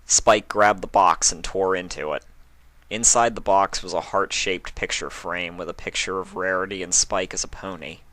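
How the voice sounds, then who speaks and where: Male fan fiction reader: lower register, forward bright tone, dry close sound. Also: fairly even intonation, normal speed, no room sound. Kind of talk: storybook narration and casual sign-off.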